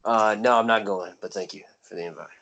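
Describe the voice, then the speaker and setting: A man's voice: lowish voice, fairly quick, creaky, fairly bright tone, lo-fi sound. A man in casual podcast banter, grumbling and swearing as he disagrees. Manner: grumbling, profane, contrary. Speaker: podcast co-host.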